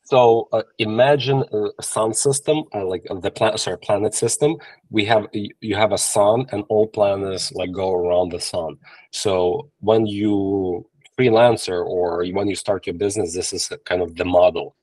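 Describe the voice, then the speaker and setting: A man's voice: on the low side, normal speed, dry recording, low-quality recording. Ukrainian-accented man on a video call. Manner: animated storytelling in simple non-native English, frequent restarts. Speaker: agency owner, podcast guest. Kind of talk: casual interview chat. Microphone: video call from a laptop or phone camera.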